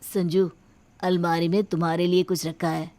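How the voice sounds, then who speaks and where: Young bridegroom, female performer, storybook cartoon: lowish pitch, gentle reasoning manner, trusting. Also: flat delivery, moderate pace, natural voice. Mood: affectionate. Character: mild, trusting young man, brother-in-law and bridegroom. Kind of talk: animated moral storybook acting.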